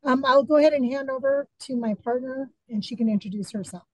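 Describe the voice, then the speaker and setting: A woman's voice: sits high, fairly quick, darkish tone, dry recording, rough recording. A woman from Denver who works as a community resource officer, introducing herself in an upbeat, chatty way.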